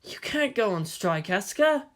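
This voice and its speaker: A man voicing a gentle, polite teenage boy with an apologetic, resigned manner in a narrated story. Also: high-pitched, big pitch swings, moderate pace, voice pushed up. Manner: soft-spoken, apologetic.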